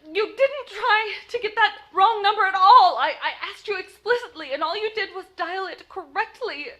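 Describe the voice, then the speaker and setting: Frantic, high-pitched, imperious radio-play wife. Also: female voice, moderate pace, fairly bright tone. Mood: exasperated. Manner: stammering, breathless, insistent, indignant. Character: frantic, imperious married woman, easily outraged. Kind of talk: 1940s radio play acting.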